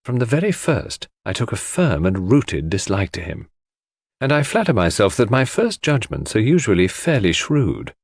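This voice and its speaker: Expressive male audiobook narrator, dry studio sound. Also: on the low side, normal speed, slightly rough, no echo. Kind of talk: scripted dramatic narration of a classic mystery.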